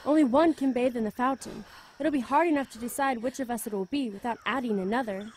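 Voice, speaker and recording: Woman playing a gloomy destitute witch, highish voice. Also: quick pace, a little mellow, husky. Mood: pondering. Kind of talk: amateur audio drama acting.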